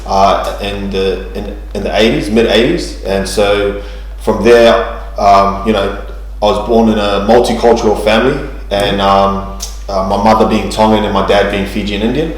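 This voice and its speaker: A man's voice: lowish voice, flat delivery, normal speed, very echoey, slight background noise, poor audio. A man, telling his life story in a church interview, hesitant with many ums and restarts. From New Zealand. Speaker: guest sharing testimony.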